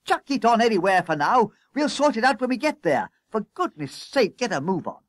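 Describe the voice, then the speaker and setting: Man acting a frantic high-pitched fireman boss. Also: sing-song, fast, no echo, studio quality. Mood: urgent, harrying. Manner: urgent shouting, harrying. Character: frantic bossy male leading fireman barking orders.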